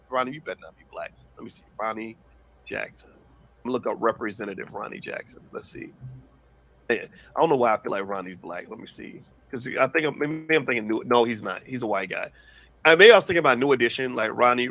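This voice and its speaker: A man hosting a news commentary show. He talks in an animated, rambling way and often repeats words. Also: mid-range voice, low-quality recording. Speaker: host.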